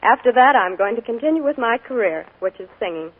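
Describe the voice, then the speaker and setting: A woman's voice: on the high side, animated, brisk. A young woman, a guest on a radio show, speaking in a cheerful, modest and earnest way. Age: young adult. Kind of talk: scripted radio interview. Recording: tinny 1940s radio broadcast.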